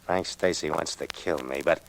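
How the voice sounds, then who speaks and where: A man's voice: rumbling low, moderate pace, gravelly, a little hiss or hum. A man playing a ruthless, scheming gang boss who sneers and gives orders, in 1940s radio drama style. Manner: cold, sneering, commanding.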